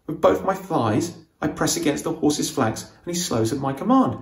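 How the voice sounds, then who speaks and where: A man reading a novel aloud as its author, with expressive, suspenseful storytelling in a dramatic audiobook style. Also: fairly high pitch, normal speed, reverberant.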